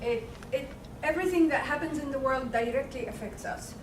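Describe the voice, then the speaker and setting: A woman from Palestine speaking firmly and loudly as a conference panelist. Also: high-pitched, fairly quick. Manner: firm, declarative, loud voice. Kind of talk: conference presentation. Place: conference hall. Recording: echoey room, far mic, noisy.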